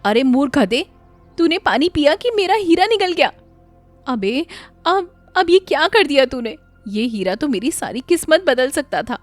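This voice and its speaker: A woman voicing a gullible, excitable poor village man in an animated moral story, exclaiming and stammering. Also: high-pitched, normal speed, no room sound, soft background music, voice pushed up. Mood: angry, dismayed. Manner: exclamatory, stammering when shocked.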